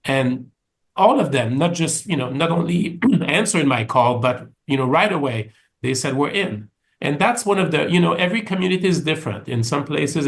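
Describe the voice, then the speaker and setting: A man's voice: mid-range voice, vocal fry. A man with a French Canadian accent, answering interview questions in a thoughtful, conversational way with frequent restarts. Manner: thoughtful, many restarts and fillers. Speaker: orchestra executive, guest.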